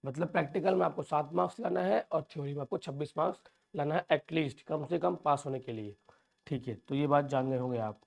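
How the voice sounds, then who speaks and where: A man with a sore, hoarse throat, walking students through an exam paper and calling them children. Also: fairly high pitch, fairly quick. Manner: addresses viewers as children, reassuring. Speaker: teacher. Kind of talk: exam overview lesson.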